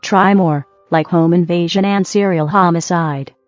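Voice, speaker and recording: A woman's voice: lowish voice, fairly even intonation, a little slow, no echo. A woman co-hosting in scripted banter, with a dry, sarcastic and needling manner. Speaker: co-host. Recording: dull dry audio, music behind. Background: background music.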